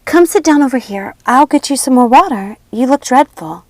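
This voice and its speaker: Woman acting a young storybook queen, higher pitch, gentle, solicitous, earnest. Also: lively intonation, normal speed, no room sound. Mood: concerned.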